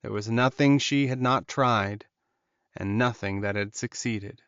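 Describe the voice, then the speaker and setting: Even-voiced man narrating an audiobook. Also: lowish voice, unhurried, clear, resonant tone, dry recording, dull audio. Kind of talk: scripted narration of a fantasy romance novel.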